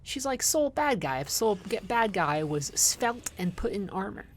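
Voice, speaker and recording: A woman's voice: mid-range voice, expressive, normal speed, slight background noise. A woman doing casual livestream game commentary, chatting informally with viewers and rambling into playful asides. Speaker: livestream host playing a video game.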